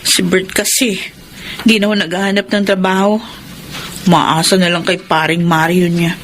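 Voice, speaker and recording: A woman's voice: lowish voice, little pitch movement, normal speed, a little hiss or hum, lightly acted. A woman playing a long-suffering young wife and mother in a Filipino radio drama, plaintive and pleading. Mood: hesitant.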